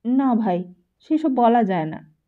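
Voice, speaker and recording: A woman voicing a meek, earnest teenage boy who speaks gently and hesitantly in an audiobook story. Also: a little high, expressive, slow pace, phone-quality sound. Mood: earnest, subdued.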